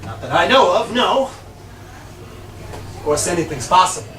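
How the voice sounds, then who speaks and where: Male actor as evasive, contrary schemer in a live play: terse, dry replies in a high voice, distant mic. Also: sing-song, moderate pace. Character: evasive, cagey, contrary man in a shady partnership. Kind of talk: live stage play, clipped absurdist banter. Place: small live venue in a bar, far microphone. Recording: distant roomy live recording, noisy.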